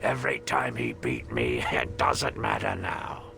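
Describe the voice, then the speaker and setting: An actor playing a bitter, vengeful and ancient man in a video game, sneering and snarling with theatrical contempt in a gravelly voice. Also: a bass voice, sing-song, a little slow, noisy background, exaggerated voice. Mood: gruff, dismissive. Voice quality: gravelly, creaky. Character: bitter, vengeful centuries-old man, contemptuous and foul-mouthed. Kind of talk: video game character acting. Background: game music.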